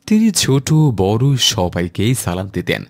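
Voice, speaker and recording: A man voicing a kindly Islamic schoolteacher in a cartoon, gently instructing a child in a didactic way. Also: lowish voice, sing-song, unhurried. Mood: gentle, instructive. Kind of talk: animated cartoon dialogue.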